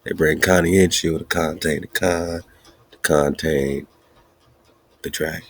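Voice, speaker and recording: A podcast host speaking in a slow, emphatic, repetitive preaching style with a husky voice. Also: male voice, rumbling low, steady tone, fairly bright tone, dry recording. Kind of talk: casual preaching-style monologue.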